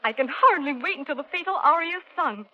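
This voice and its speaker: Gossipy society woman, gushing, high voice, muffled old radio. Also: expressive, quick pace. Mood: thrilled. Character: excitable, gossipy lady opera-goer. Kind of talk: 1930s radio drama acting. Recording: muffled 1930s radio recording.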